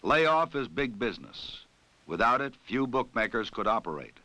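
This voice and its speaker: Forceful American man narrating a 1960s training film. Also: medium pitch, big pitch swings, no room sound. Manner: formal, authoritative, measured delivery. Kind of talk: scripted 1960s documentary narration.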